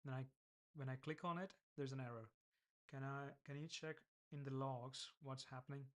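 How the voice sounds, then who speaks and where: A man with an Indian accent talks casually while working through his app. He thinks aloud, pausing, restarting and trailing off mid-sentence. His pitch stays in an ordinary male middle range. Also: steady tone, a bit bright. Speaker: vlogger building an app. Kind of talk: casual screen-recorded coding vlog.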